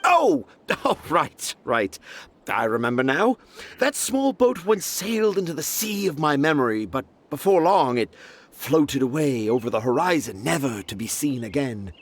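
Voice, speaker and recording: A man's voice: mid-range voice, very animated, moderate pace. A man voicing a pompous, dreamy children's author in a video game, speaking in grand, flowery phrases. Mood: grandiose.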